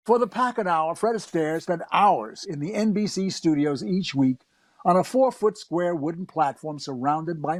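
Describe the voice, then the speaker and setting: A man's voice: on the high side, dry recording. An actor playing a wry comedy writer, narrating his memories conversationally in a scripted radio play.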